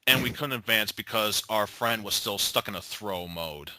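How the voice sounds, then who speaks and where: A man's voice: lowish voice, normal speed, pushed and bright, no room sound. A man chatting casually with friends and telling gaming anecdotes. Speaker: member of a gaming group.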